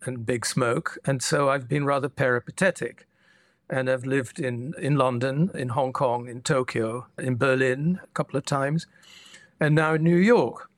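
An older man from the Netherlands, a guest historian, answering interview questions in a measured, reflective way. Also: medium pitch, no echo, studio quality. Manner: measured, thoughtful, with frequent restarts.

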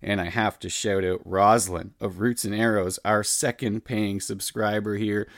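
A man with a fairly low voice hosts a podcast, speaking in an upbeat, appreciative way. His sound is dry and close, with no room echo. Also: fairly even intonation, measured pace. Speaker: podcast host. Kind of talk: podcast intro and interview.

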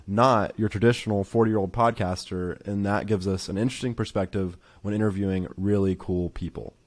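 A young man in his twenties welcomes listeners and guests to his interview podcast. He sounds relaxed and a little hesitant, with a fairly low voice. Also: brisk. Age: 20s. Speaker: podcast co-host. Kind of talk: podcast hosting.

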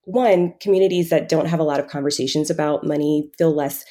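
A woman with a low, soft-edged voice speaks as a guest in a conversational interview. Her tone is dark and relaxed, and she feels her way through each thought with hedges and pauses. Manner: halting, thinking aloud, frequent hedging with "kind of" and "like". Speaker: podcast guest, financial coach. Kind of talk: reflective conversational interview answer.

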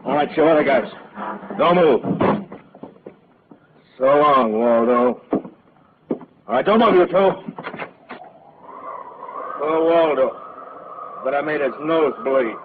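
A man's voice: fairly high pitch, slow pace, long pauses. An actor playing a drunken, taunting gunman in a cocky, slangy 1940s radio crime drama style. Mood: gloating, menacing. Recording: tinny, noisy old-time radio broadcast.

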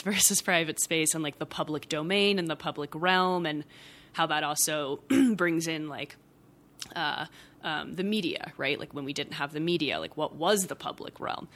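A young woman, a podcast guest and writer, talking things through in a thoughtful, rambling way, with many fillers and soft laughs. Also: low voice. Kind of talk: casual reflective conversation.

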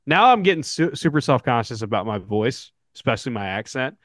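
A man's voice: mid-range voice, very animated, brisk, a bit bright, dry recording, clean studio sound. A man with a Southern American accent, co-hosting a podcast and chatting casually, rambling with lots of fillers. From Southern United States. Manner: rambling, frequent fillers and restarts. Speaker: podcast co-host. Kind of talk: casual sports podcast chat.

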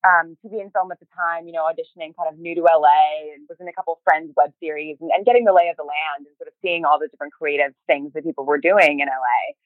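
A woman talking casually as an interview guest, halting and often rephrasing as she tells her story. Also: low voice, fairly even intonation, dry recording. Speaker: podcast interview guest, writer and creator. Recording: thin, phone-like narrow band.

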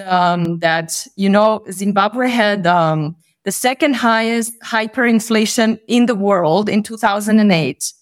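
A woman from Bosnia speaking as a podcast guest, answering conversationally in a reflective, halting way and often rephrasing as she goes. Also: mid-range voice.

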